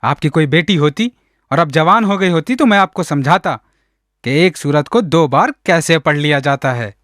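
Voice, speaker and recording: A man's voice: a little high, fairly bright tone, no echo, studio quality, close to an everyday voice. A man playing an exasperated village cleric in a radio drama, speaking with pointed, bitter sarcasm. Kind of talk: Urdu radio drama acting.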